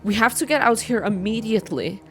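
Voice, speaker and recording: A woman's voice: a little high, lively intonation, brisk, faint music behind, pitched above the performer's own voice, close to an everyday voice. Woman reading as an anxious, urgent young man. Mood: frantic, scared. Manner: nervous, urgent. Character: anxious young male activist, fearful and urgent.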